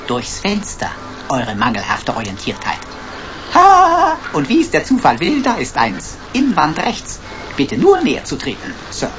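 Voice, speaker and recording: Pompous, swooping computer sidekick. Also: male voice, on the high side, wildly swooping pitch, unhurried, a bit bright, faint music behind, heavily acted. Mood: gleeful mocking. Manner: pompous, florid, mock-servile. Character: cheeky, pedantic talking computer sidekick, servile and mocking. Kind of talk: radio drama acting. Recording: dull, noisy radio drama recording.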